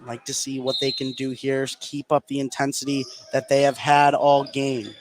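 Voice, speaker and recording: A man giving excitable live play-by-play radio commentary on a basketball game, his voice rising on big plays. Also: mid-range voice, moderate pace. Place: live at a high school basketball gym. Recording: clear background noise, gym ambience.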